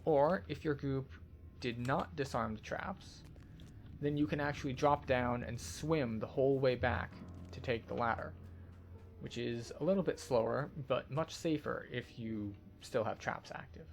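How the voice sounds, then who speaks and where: Man with a slightly gravelly voice, game walkthrough. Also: medium pitch, a little slow, soft background music. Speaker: game tour guide narrator. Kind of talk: video game walkthrough commentary.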